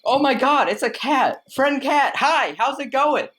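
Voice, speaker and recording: A woman voices a sociable storybook dog with a slightly high voice. It chats casually and gushes with friendliness, in radio-play style. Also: lively intonation, unhurried, a bit bright. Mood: delighted. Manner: gushing, casual.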